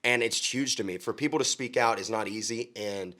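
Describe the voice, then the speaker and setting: A young country singer from Oklahoma answers questions in a relaxed chat. His voice sits a little low, and he talks earnestly, often restarting sentences and filling gaps with ums. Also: brisk. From Oklahoma, USA. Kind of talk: casual interview chat.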